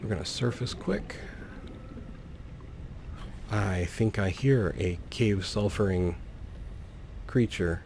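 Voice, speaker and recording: Slow, low, gravelly man playing a game. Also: darkish tone, a little hiss or hum. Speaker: let's player, host. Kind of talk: casual gameplay commentary, thinking aloud.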